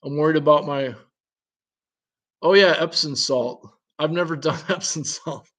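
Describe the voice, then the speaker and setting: A man talking in a loose, rambling, casual way, his voice sitting a little higher than most men's. He thinks aloud, reacts with curious little questions and often breaks into a chuckle between remarks. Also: measured pace, a bit bright. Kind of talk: casual chat.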